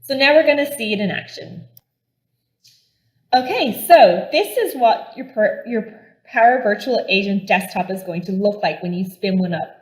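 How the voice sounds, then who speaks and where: A woman's voice: medium pitch, expressive, normal speed, reverberant, rough recording. An Irish woman giving an upbeat, step-by-step product demo at a conference. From Ireland. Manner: upbeat and enthusiastic, explaining step by step. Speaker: conference presenter.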